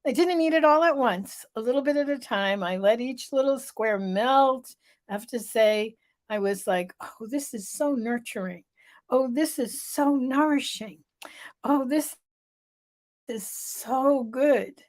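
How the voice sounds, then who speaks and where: Middle-aged woman host, stuffy-voiced, unhurried live-vlog storyteller. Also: sits high. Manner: slow, storytelling, emphatic repetition.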